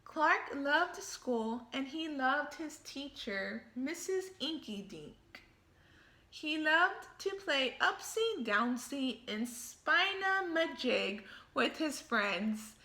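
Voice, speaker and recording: Slow, high-pitched woman reading a storybook. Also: reverberant. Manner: expressive, thinking aloud, pausing to ask questions. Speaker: teacher reading a picture book aloud to children. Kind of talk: children's story read-aloud with questions to listeners.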